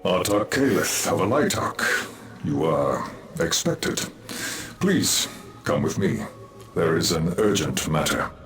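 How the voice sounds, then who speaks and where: Formal, echoing alien warrior. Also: male voice, sits low, big pitch swings, slow, pauses often, heavily acted. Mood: earnest. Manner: formal, declamatory. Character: earnest, zealous male elf-like alien warrior. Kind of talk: video game cutscene acting. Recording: echoey, loud music behind.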